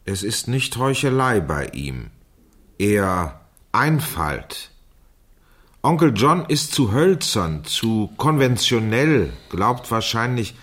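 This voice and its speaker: A man's voice: mid-range voice, fairly bright tone. An actor playing a man who reads a teenage girl's diary aloud in a theatrical, mocking way in a radio crime play. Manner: theatrical, dictates punctuation marks aloud.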